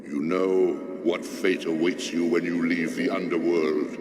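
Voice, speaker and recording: A man's voice: low-pitched, little pitch movement, a little slow, a little mellow, soft background music. An actor playing a vast, ancient, pitiless male god in a video game, sermonizing in a pompous, solemn manner. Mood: accusing. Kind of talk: dramatic video game acting. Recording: echoing cavernous reverb, clearly noisy ambience.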